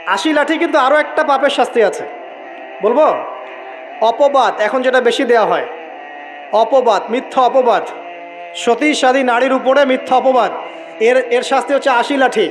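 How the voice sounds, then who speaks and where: A man's voice: very high-pitched, rough recording. A Bangladeshi man preaching an Islamic sermon, emphatic, repeating key phrases and putting rhetorical questions to his listeners. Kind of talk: waz sermon to an audience. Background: music.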